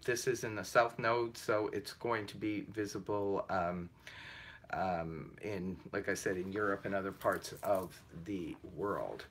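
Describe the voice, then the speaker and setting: Man, deep voice, bright forward tone, casual livestream host, chatty, laughs a lot, lots of fillers. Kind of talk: casual live chat reading viewer comments.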